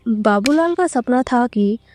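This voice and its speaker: Slow, bright-lacking, high-pitched woman narrator. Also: expressive, over music. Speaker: story narrator. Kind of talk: scripted storybook narration.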